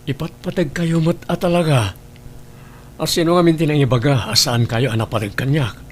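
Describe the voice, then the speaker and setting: A man's voice: fairly high pitch, normal speed, a little hiss or hum, natural voice. A man with a northern Philippine accent acting an earnest, guilt-ridden husband and father in an audio drama. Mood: tender, apologetic. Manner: earnest, pleading. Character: guilt-ridden married father torn between family and mistress.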